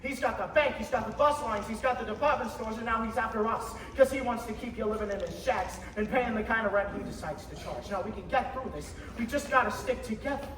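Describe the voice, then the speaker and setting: An echoey hall carries a very high-pitched teen actor as a young male loan boss. He is earnest and urgent, pleading emphatically in radio-play style. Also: fairly quick, few pauses, a bit bright. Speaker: high school stage actor. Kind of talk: theatrical radio play acting. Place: school theater stage. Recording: echoey hall from far off, noisy.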